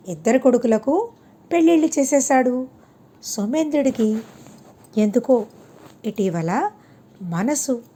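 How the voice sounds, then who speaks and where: Woman storyteller, high pitch, soft mellow tone, scripted narration. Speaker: audiobook storyteller.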